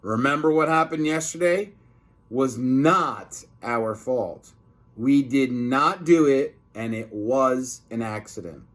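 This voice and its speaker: A man voicing a mischievous, excitable schoolboy in a children's storybook, exclaiming and protesting. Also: mid-range voice, takes it slowly, close to an everyday voice.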